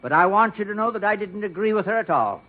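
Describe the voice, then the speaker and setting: A man's voice: sits high, expressive, fast. A man playing a meek, resigned, henpecked middle-aged husband in a 1950s radio comedy, on a tinny recording with an audience. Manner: resigned, timid.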